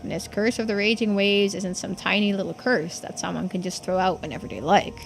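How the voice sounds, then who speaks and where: A woman's voice: medium pitch, a little fast, creaky, soft background music, lightly acted. A woman voicing a folksy, earnest islander who explains old secrets in video game dialogue. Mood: grave.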